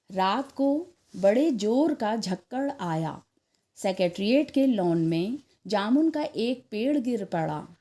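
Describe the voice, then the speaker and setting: Woman, story narration, expressive, animated pitch, unhurried, soft mellow tone. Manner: measured, acts out dialogue.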